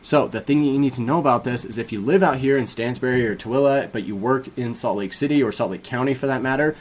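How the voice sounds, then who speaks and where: Fast-talking young man, a bit sniffly. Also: mid-range voice. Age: young adult. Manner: upbeat, fast-talking, addresses viewers as guys. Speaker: real estate agent. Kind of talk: casual explainer vlog. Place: small home studio with foam panels.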